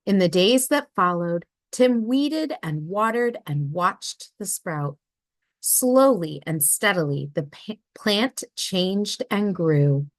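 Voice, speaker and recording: A woman reads a children's picture book at an unhurried pace. Her voice rises and falls in a lively way. The sound is dry and close to the mic. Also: mid-range voice, no room sound. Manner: expressive, storybook tone. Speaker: read-aloud narrator.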